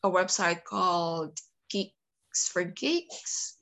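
A woman's voice: fairly low pitch, very slow, a bit bright. A young woman from Indonesia, speaking English as a second language in a casual interview, with many fillers and restarts. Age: young adult. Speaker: interview guest, computer science student.

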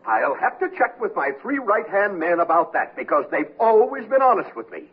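An actor playing a flustered, excitable company chairman in a radio comedy, with a hesitant, blustery, stammering delivery. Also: high voice, moderate pace, phone-quality sound. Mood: indignant. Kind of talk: 1970s radio comedy acting. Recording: thin, noisy old radio broadcast.

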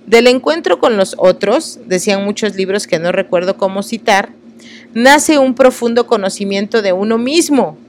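A woman reads written passages aloud at a middling pitch for her sex. She is earnest and a little halting, slowing down over unfamiliar words and breaking off for apologetic remarks before she carries on. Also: animated, slight background noise. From Oaxaca, Mexico. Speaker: host reading texts aloud. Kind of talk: reading aloud with asides.

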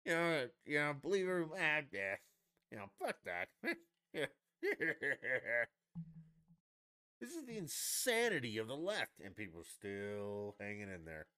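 A man's voice: very animated, unhurried, pushed and bright, clear, resonant tone. A man hosting a solo podcast, ranting sarcastically with lots of swearing and mocking asides. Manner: mimics others in high mocking voices. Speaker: podcast host. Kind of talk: solo opinion rant.

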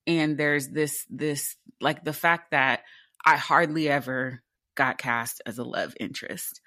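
Casting note: woman, 20s, very low alto, candid and animated interview guest, dry close mic. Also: dry recording. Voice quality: deep alto voice. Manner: candid, animated, with quick rhetorical questions. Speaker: interview guest, theatre actor. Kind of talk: casual interview conversation.

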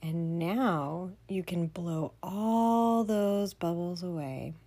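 A woman leading a children's bedtime meditation in a soothing, gentle manner, often dropping to a breathy near-whisper. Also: on the low side, extremely slow. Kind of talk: guided bedtime meditation for kids.

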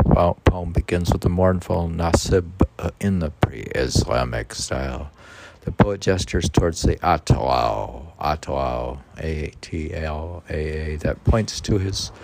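A man with a low, creaky voice reads poetry and commentary in an informal, halting way. He goes slowly, repeating words and muttering asides. There is slight background noise on the recording. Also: a little mellow. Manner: halting, stumbling and repeating words while reading. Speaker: podcast host reading poetry and commentary aloud.

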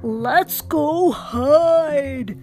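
A woman voicing a jumpy male graveyard ghoul in a storybook reading, in an excitable voice. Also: sits high, takes it slowly, faint music behind, pitched above the performer's own voice. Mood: startled.

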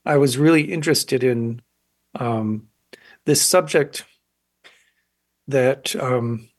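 A man in his sixties, an artist interviewed on radio, speaking in a thoughtful, halting way and often pausing to find words. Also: medium pitch. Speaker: painter, interview guest. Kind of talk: reflective interview talk.

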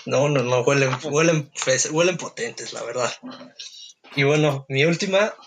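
A young adult man with a Mexican Spanish accent hosting a casual podcast chat, relaxed and quick to laugh. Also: medium pitch, a little hiss or hum. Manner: relaxed, laughs easily, leads the segments. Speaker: podcast host.

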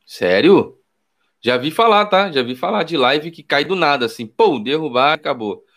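A man's voice: on the high side, animated, moderate pace, fairly bright tone. A man from Rio de Janeiro with a Carioca accent, giving casual political commentary on his channel beside his wife, emphatic and slangy, repeating key phrases. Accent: Carioca Brazilian Portuguese. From Rio de Janeiro, Brazil. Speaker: political YouTuber.

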